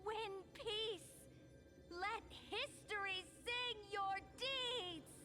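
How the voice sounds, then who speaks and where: A woman voicing a commanding warrior queen who rallies her people in a rousing, declamatory way, over loud game music. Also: very high-pitched, extremely slow, heavily acted.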